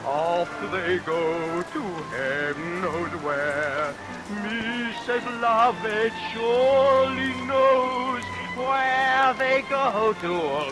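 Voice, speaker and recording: An actor playing a scheming, murderous villain barber in a vintage radio melodrama, declaiming theatrically and relishing each threat. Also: male voice, sits high, rough recording. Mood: sing-song, menacing. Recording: vintage radio recording under rain noise.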